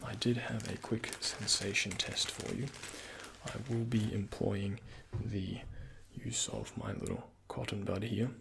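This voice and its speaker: A man speaking softly and breathily in an ASMR roleplay as a doctor, giving gentle, courteous, unhurried instructions to a patient, close to the microphone. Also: lowish voice, expressive, noisy background. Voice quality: breathy, often near-whispered. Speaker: ASMR performer playing a doctor. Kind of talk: soft-spoken ASMR medical roleplay, addressing the listener as a patient.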